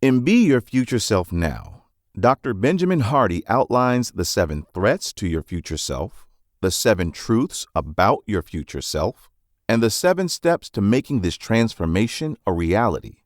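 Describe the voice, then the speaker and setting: Male narrator for non-fiction summaries. Low register, animated delivery, emphatic phrasing, dry close recording. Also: normal speed, no echo.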